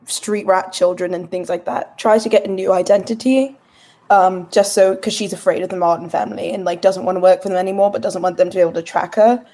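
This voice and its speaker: A woman with a very soft-edged, mellow voice talks casually as a player in a tabletop game. Her pitch is middling, and her phrasing is informal, full of "like". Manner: informal, heavy use of "like". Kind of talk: casual chat.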